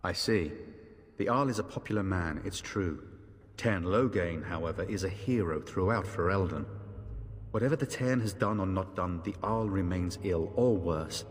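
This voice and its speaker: Actor as a deep-voiced weary knight in a hall. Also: male voice, normal speed, lightly acted. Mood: grave, doubtful. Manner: formal, earnest. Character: weary, courteous knight on a fading quest.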